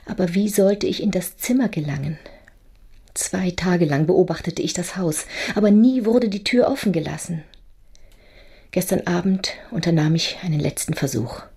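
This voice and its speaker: A husky, guilt-ridden confessing wife. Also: female voice, fairly low pitch, warm tone. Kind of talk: German radio drama monologue.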